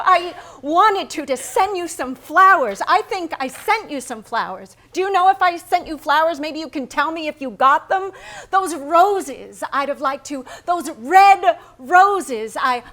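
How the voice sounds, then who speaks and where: A woman on stage playing a painter's anguished, yearning wife in a theatrical dramatic reading, with deliberate, halting phrasing. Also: very high-pitched, bright, forceful tone, full character voice. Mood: wistful, yearning.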